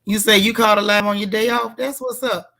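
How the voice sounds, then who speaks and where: A woman hosting a casual live recap chat, with a loud, gravelly, hoarse voice, greeting viewers by name with folksy slang. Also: medium pitch, fairly even intonation, fairly quick. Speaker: livestream host.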